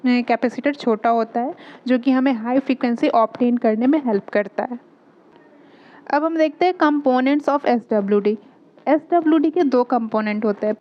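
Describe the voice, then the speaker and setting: Indian lady teacher, really high voice, explains step by step, kinda muffled lo-fi audio. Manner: didactic, asks and answers own questions; code-mixes English technical terms. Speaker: physiotherapy teacher. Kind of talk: explanatory lesson in simple steps.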